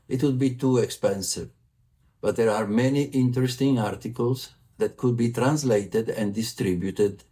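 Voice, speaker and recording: A man's voice: mid-range voice, dark tone. A man from Italy with an Italian accent, giving a formal prerecorded report as a vice president for communications. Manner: formal, measured delivery.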